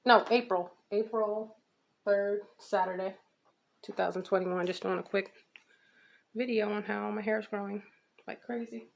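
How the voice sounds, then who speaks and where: American lady doing a chatty hair vlog, gravelly fry in her voice, rambles and repeats herself. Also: medium pitch, steady tone, measured pace, long pauses. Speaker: natural hair care vlogger. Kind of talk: casual chatty hair update.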